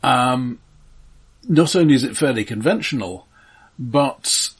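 A man's voice: mid-range voice, animated, slow pace, husky. A man co-hosting a casual, analytical film discussion, hesitant and thoughtful in his phrasing. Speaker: podcast co-host.

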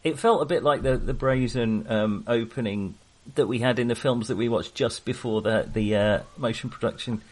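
A man chatting casually about films as a podcast co-host, in a halting, rambling manner. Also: mid-range voice. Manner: halting, rambling, often chuckling. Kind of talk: casual film discussion.

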